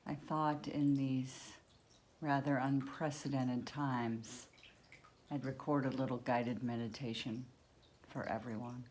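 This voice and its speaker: A woman with a low-pitched voice guides a relaxation meditation at a very slow pace. She gives soothing instructions in short phrases, leaving long pauses, with noticeable background noise on the recording. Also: flat delivery, a little mellow.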